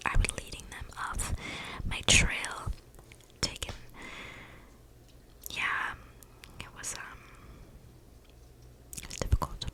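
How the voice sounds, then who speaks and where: A woman playing an eccentric, flippant female vampire in an ASMR roleplay, rambling and gossiping in slangy, breathy whispers close to the mic. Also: close to an everyday voice, rough recording. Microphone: close mic picking up breathing.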